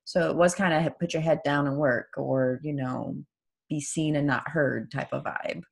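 Gravelly low-voiced woman in her forties. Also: moderate pace. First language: Farsi. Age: 40s. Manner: animated, laughs often, swears casually. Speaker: author and podcast host. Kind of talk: casual conversational interview.

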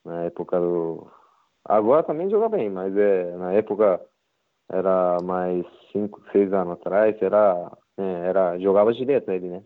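Japanese-accented man, halting Portuguese, phone line. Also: low voice. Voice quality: halting, simple Portuguese with many fillers. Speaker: interviewed footballer. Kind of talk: casual interview. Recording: tinny phone-line sound.

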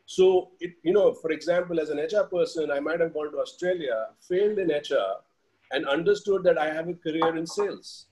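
A man's voice: fairly high pitch, fairly quick, darkish tone, low-quality recording. A man in his forties from India with an Indian accent, telling personal stories in a reflective, explanatory way as an interview guest. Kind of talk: conversational personal storytelling.

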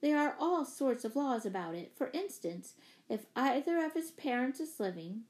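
Woman playing a sensible, firm mother, higher-pitched. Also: very animated, a little mellow. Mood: troubled, serious. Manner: serious, measured, firm. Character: sensible, firm, kindly mother of several children. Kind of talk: children's storybook character voice.

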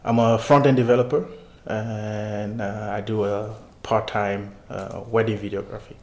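A man from Ghana talking as a podcast guest about his videography work, in a relaxed, chatty way with frequent fillers. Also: lowish voice, a little slow, roomy sound. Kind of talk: casual interview.

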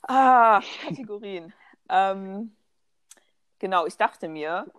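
A young woman, raised bilingual, bantering on a podcast and slipping English phrases into her chat with an easy, playful tone. Her voice sits at a middle pitch, recorded dry and close. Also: lively intonation, slow, fairly bright tone, no echo. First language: bilingual German and English. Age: young adult. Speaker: podcast co-host. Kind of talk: casual banter.

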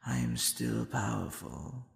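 Man playing a gravelly, suave demon boyfriend. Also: fairly low pitch, big pitch swings, reverberant, exaggerated voice. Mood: proud, grandiose.

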